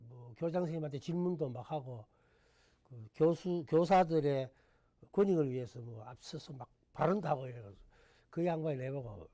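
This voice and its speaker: An older Korean man speaks with a thick Gyeongsang accent. His voice sits a little high for a man, has a mellow, dark tone, and swings widely in pitch as he tells stories about himself with chuckling humor. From Gyeongsang province, Korea. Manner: storytelling, chuckling, self-deprecating. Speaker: guest, former education official. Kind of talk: relaxed talk-show interview.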